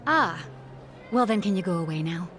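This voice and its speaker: A woman's voice: fairly low pitch, lively intonation, normal speed, fairly bright tone, soft background music. An actress playing a rude, impatient woman who brushes off a stranger in a game dub. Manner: dismissive, snippy.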